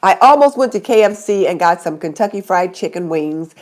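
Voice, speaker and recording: A woman's voice: on the low side, lively intonation, brisk, darkish tone. Chatty, congested woman live-streaming. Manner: chatty, greets viewers by name. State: stuffy nose from a cold. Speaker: YouTube channel host. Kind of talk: casual live stream chat.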